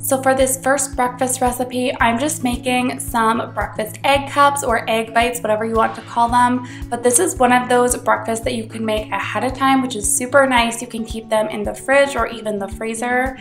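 A woman's voice: fairly high pitch, steady tone, moderate pace, few pauses, reverberant, soft background music. A young woman with a cold hosting her cooking channel, chatty and upbeat as she explains each step from her home kitchen. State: congested from a cold. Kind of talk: casual cooking tutorial voice-over.

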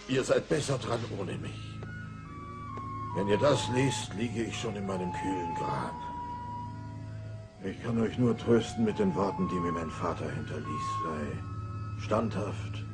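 A man's voice: low voice, measured pace, pauses often. A man playing a hapless, self-pitying middle-aged cartoon father in a German radio-play dub, in a whiny, melodramatic way. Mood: mournful. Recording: loud music behind the voice.

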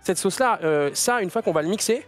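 A man in his thirties hosting a cooking show, explaining steps in an upbeat, quick way in a studio kitchen. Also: high-pitched, expressive, soft background music. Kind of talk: cooking demonstration chat.

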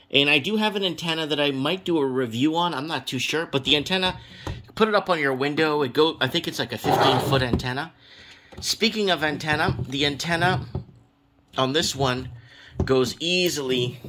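A man's voice: medium pitch, pushed and bright. A man giving a casual, unscripted product demo, rambling informally and thinking aloud as he goes. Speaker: product reviewer. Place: small room at home, indoors. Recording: clearly noisy home video with clicks.

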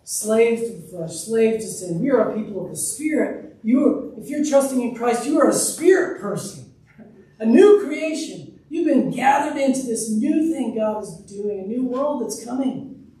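Pastor, man in his thirties, earnest pulpit sermon, dark mellow tone, distant mic in a reverberant sanctuary with faint ambience. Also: very high voice, lively intonation, normal speed. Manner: earnest, emphatic preaching with open gestures. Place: church sanctuary, speaking from a pulpit. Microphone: room mic, far from speaker. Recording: echoey distant church recording.

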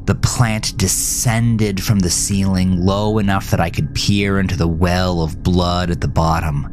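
A man narrating a scripted first-person horror story in a brooding, deliberate, dramatic manner. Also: low voice, fairly even intonation, noisy background. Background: music.

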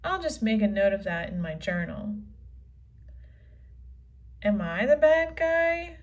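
Woman reading as an excitable little girl. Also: medium pitch, slow, highs cut off, voice pushed down. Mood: musing. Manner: earnest, excitable kid delivery. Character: quirky, imaginative girl of about nine. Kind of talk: children's comic read-aloud.

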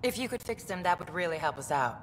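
A woman's voice: on the low side, animated, quick pace, big-room sound, slight background noise, close to an everyday voice. Woman voicing a courteous alien center host. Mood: welcoming. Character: polite alien woman running a cultural center. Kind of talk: video game dialogue.

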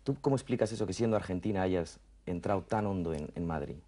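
A man in his thirties with a deep, relaxed voice. He puts questions conversationally, as the interviewer on a television programme. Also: quick pace. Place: dim furnished lounge, indoors. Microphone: table microphone on a stand.

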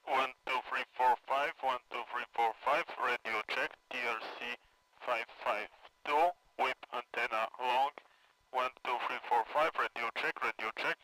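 A man's voice: mid-range voice, fairly even intonation, measured pace, long pauses, pushed and bright. A man making clipped, repetitive test calls, heard through a radio receiver. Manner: clipped, repetitive counting and call-out. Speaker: radio hobbyist testing equipment. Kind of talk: radio check test call. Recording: noisy radio reception with clicks.

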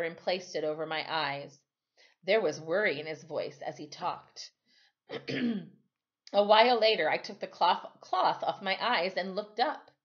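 A woman's voice: medium pitch, muffled recording. A middle-aged woman reading a children's novel aloud expressively, like a teacher at storytime. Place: small room at home, indoors.

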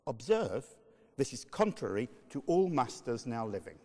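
A man reading a sermon as narrator in a measured, emphatic, oratorical way. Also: medium pitch, sing-song, dark tone. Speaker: narrator, reader. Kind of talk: dramatic reading of a medieval sermon. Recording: long reverberant hall echo.

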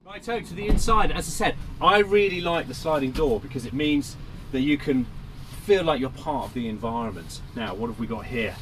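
A man's voice: mid-range voice, lively intonation, a little fast, background noise. A man in his forties presenting a camper van with upbeat, chatty enthusiasm as he walks around it and inside, pointing out features. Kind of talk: upbeat walkaround review. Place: outdoors by a van on a windy coast, and inside the van.

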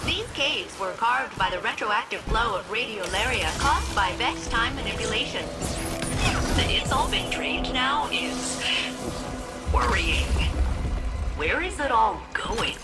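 A polite female ship computer in a video game, a little high in pitch. She talks with technical precision and dry cheer. Loud music and mechanical noises run behind her. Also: expressive, unhurried. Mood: dry, analytical. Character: polite, wry female ship artificial intelligence. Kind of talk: scripted video game dialogue. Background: loud game music and mechanical sounds.

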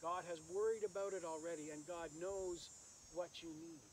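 A man, a minister, speaking solemnly and with pauses at a graveside service, reading scripture, praying and preaching outdoors. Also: fairly high pitch, fairly even intonation. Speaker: minister officiating a funeral. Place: outdoors in an open rural cemetery. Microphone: camera several metres away. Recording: outdoor recording with insect and nature noise.

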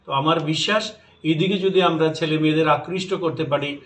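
A man in his fifties from Tripura, India, making a measured, formal statement as a sports association official at a press conference. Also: on the high side, fairly even intonation, a bit bright. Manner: measured, formal, occasional halting restarts. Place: indoor press room with banner backdrop. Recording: echoey room, voice a little distant.

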